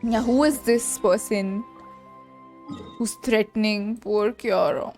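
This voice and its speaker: A woman's voice: mid-range voice, slow, a little mellow, soft background music. A woman streamer giving casual, murmured reactions over video game play.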